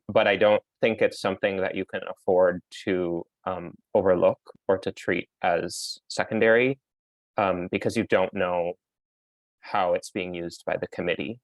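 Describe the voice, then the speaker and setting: A man on a faculty panel giving thoughtful advice on job applications, pausing often with frequent ums. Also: deep voice, no room sound, rough recording.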